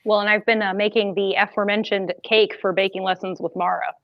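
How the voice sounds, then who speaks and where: A woman on a movie panel, talking quickly, emphatic and joking. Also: medium pitch, steady tone, no room sound, muffled recording. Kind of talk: casual panel chat.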